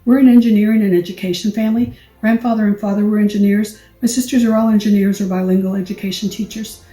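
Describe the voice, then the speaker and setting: Fast-talking woman in her sixties, distant, echoey. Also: mid-range voice, fairly even intonation. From El Paso, Texas. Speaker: award recipient. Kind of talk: prepared acceptance speech. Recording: echoey room, distant mic, soft music.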